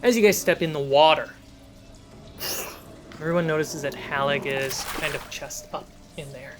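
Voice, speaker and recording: A man's voice: fairly high pitch, fairly even intonation, normal speed, slight background noise. A man running a tabletop fantasy game as game master, narrating scenes and calling out results. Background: clear cinematic music.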